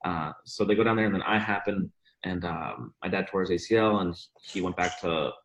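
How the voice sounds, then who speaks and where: A man's voice: low-pitched, brisk, a bit bright, poor audio. A young man from Bosnia, a podcast guest telling his story in an upbeat, enthusiastic way. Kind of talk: casual interview chat.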